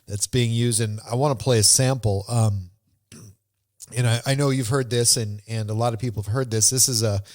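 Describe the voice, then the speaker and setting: A man hosting a podcast in a casual, conversational way, with a halting, rambling delivery. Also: mid-range voice, fairly even intonation, a little mellow, no echo, clean studio sound. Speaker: podcast host.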